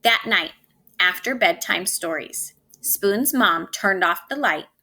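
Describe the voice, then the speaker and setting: A woman teacher reads aloud for children in a bright voice. She is expressive, takes her time and speaks directly to young listeners. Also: medium pitch, slow, breathy edge. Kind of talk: storybook read-aloud and lesson for children.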